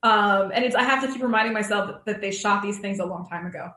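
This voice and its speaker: A woman's voice: medium pitch, quick pace, pushed and bright. Woman moderator in an echoey room. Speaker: panel moderator. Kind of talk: casual unscripted discussion. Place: remote video call in an echoey room. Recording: roomy, echoey video call audio.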